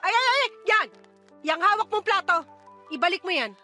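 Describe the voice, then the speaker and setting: A woman's voice: very high voice, big pitch swings, moderate pace, pushed and bright, soft background music, exaggerated voice. A woman playing a bitter, exhausted mother who scolds and nags sarcastically in a radio-style drama.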